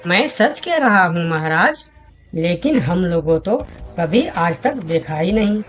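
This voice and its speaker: A friendly cartoon forest animal, voiced by a woman, speaks slowly and expressively. The pitch swings widely over faint music. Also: expressive. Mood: earnest, pleading. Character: friendly talking forest animals of a children's fable. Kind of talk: cartoon story character dialogue.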